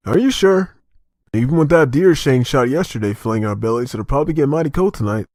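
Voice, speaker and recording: An actor playing a steady, friendly small-town cop among survivors in a comic dub. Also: male voice, medium pitch, brisk, dry recording, clean studio sound, close to an everyday voice. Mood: concerned. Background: background music.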